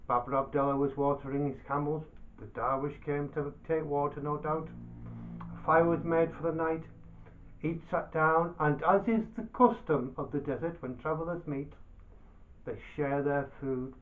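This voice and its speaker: Phone-like narrow sound, male storyteller, very slow delivery, dramatic pauses, theatrical folk-tale narration. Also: fairly high pitch. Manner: dramatic, deliberate, with pauses and repetition.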